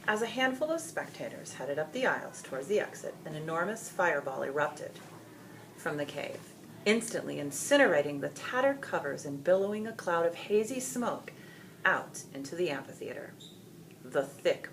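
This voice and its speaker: A woman reading a children's story aloud in dramatic, expressive, deliberate narration. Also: low voice, a little hiss or hum, low-quality recording.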